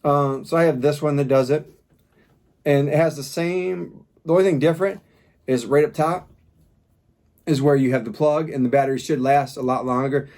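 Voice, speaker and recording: A man's voice: fairly high pitch, steady tone, moderate pace, a little mellow. A man doing an informal product review, talking casually as he demonstrates gear step by step.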